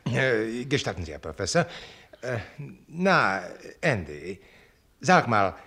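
A learned, courteous zoo director in a radio drama. His medium-pitched voice swings widely as he speaks, formal and a touch hesitant. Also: very animated, slow pace, sharp tone, husky, heavily acted. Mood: courteous, intrigued.

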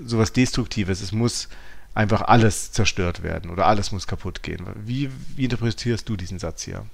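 A man co-hosts a discussion podcast, reading listener mail and analysing it in a questioning way. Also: lowish voice. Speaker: podcast co-host.

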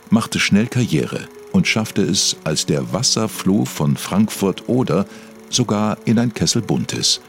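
Man, very low voice, scripted documentary narration, matter-of-fact, music behind. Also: gravelly.

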